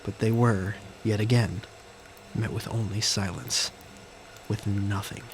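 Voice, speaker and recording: Low-voiced man narrating a dramatic audio story. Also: steady tone, slow. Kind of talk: scripted first-person dramatic narration. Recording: faint background noise and ambience.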